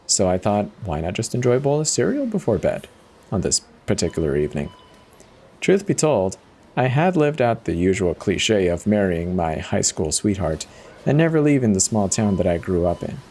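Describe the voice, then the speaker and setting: A man's voice: on the low side, sing-song, normal speed, mellow, slight background noise. A man giving a dramatic first-person reading of a horror story as its narrator.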